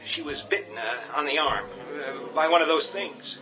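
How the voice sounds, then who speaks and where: Man as a worried male father, hesitant and halting; lo-fi recording with music behind. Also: fairly high pitch, pitched above the performer's own voice, natural voice. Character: worried father sheltering his family. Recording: dull lo-fi with music beneath.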